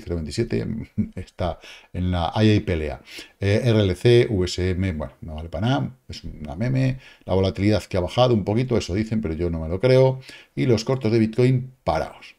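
A man, casually commenting on crypto charts in a lively, slangy way, with exclamations and sound effects, recorded at a home desk. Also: sits low. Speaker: crypto market analyst. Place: home studio, indoors. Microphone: webcam framing, seated at desk.

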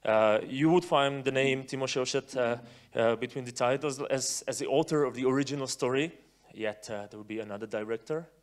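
A man in his thirties hosting on stage, introducing informally in halting, repetitive phrases. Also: mid-range voice. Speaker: festival host. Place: cinema stage, indoors. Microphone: handheld microphone.

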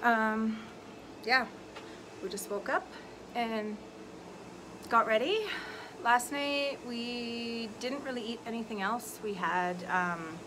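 A woman's voice: on the high side, slow, long pauses, noisy background. A woman in her forties, chatting casually to a phone held at arm's length in a travel vlog, giggling now and then. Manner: chatty, giggly, talks to camera. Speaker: vlogger. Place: car, restaurant and hotel hallway. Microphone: phone camera at arm's length.

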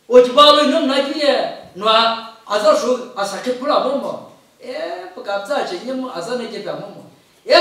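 A middle-aged pastor delivers an emphatic sermon in a very high-pitched male voice. He repeats phrases in a declamatory way. The sound rings heavily around the hall and sits at a distance from the microphone. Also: fairly bright tone. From Nagaland, India. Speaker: pastor, preacher. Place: indoor church hall, at a pulpit. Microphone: microphone on a stand at the pulpit. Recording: echoey hall, picked up from a distance.

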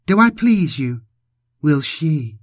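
A man's voice: fairly high pitch, big pitch swings, takes it slowly, dry recording, clean studio sound, voice pushed up, heavily acted. A man voicing an eerie, sad female mutant who speaks in cryptic prophecy, as an audiobook character. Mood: coy. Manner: cryptic, portentous.

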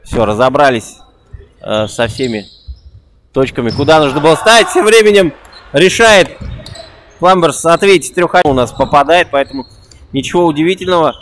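Young male play-by-play caller, higher-pitched, excitable delivery, faint ball bounces in a gym. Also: a little hiss or hum. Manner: quick excitable play-by-play with a repeated catchphrase. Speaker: basketball commentator. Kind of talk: live play-by-play commentary. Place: indoor basketball gym.

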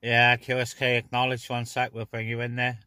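A man's voice: mid-range voice, monotone, normal speed, sharp tone, no echo. A man chatting casually as an amateur CB radio operator, recorded inside a parked car.

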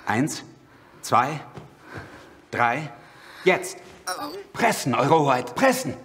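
A royal birth attendant, gives deliberate orders in a historical drama. The delivery is slow but very animated in pitch, with a commanding manner. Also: male voice, slight background noise, full character voice. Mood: urgent. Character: urgent attending birth helper at royal court. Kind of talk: historical docudrama dialogue.

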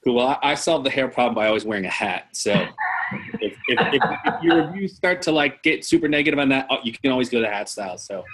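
A man's voice: medium pitch, lively intonation, fast, background noise. A man in his forties explaining things conversationally as a guest expert on a video call, often checking the listener follows. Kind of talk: casual explanatory chat with anecdotes. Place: indoor room on a video call.

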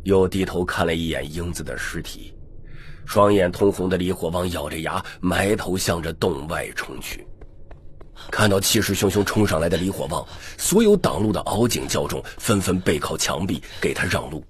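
A man's voice: deep voice, normal speed, slight background noise. A man reading dramatic scripted narration as an audiobook narrator.

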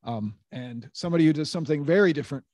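A man's voice: on the high side, rapid-fire, a little mellow, dry recording, clean studio sound. A man in his thirties moderating a science panel Q&A in a lecture room on a handheld mic, thinking aloud with frequent restarts.

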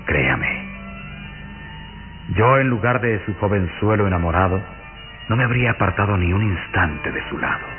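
Suave scheming count, low voice. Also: male voice, big pitch swings, normal speed, long pauses, soft background music. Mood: seductive, intense. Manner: whispering, insinuating, courtly. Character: pale suave count, intense, scheming suitor. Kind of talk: old radio serial acting. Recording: muffled, hissy old radio serial recording.

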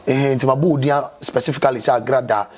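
A man's voice: medium pitch, fairly even intonation, fast talker, a crowd in the background. A Ghanaian-accented man, around his thirties, speaking emphatically as an interview guest and often repeating himself. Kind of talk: animated conversational interview, switching between two languages. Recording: clear background noise, ambient sound.

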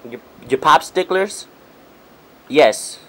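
A young man speaking casually as a customer on a prank phone call, halting and repeating himself. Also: a little high, animated, fairly bright tone. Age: young adult. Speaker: prank caller, video host. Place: small room at home.

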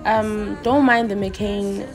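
A woman's voice: mid-range voice, steady tone, takes it slowly, over music. A young woman vlogging casually in a self-deprecating way. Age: young adult. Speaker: student vlogger. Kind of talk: casual vlog chat.

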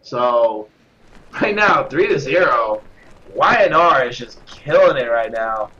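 A man with a stuffed-up nose, commentating live at a gaming tournament, getting excitable on big kills. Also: medium pitch, slow pace, highs cut off. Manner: excitable, shout-outs to viewers, bursts of hype on kills. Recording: noisy with game gunfire behind.

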